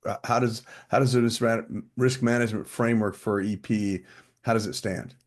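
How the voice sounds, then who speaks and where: Low-voiced male podcast host. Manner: relaxed, quick backchannels, brief laughs. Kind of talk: casual interview questions.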